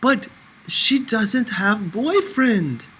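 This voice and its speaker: A man doing an excitable high-pitched older woman. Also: extremely slow, slight background noise, full character voice. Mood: coy, lamenting. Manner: squealing, broken English.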